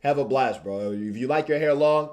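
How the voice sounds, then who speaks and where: A man's voice: mid-range voice, normal speed, a little mellow. A young man from Tanzania chatting casually on a livestream in informal slang, repeating phrases for emphasis. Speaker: streamer and video creator.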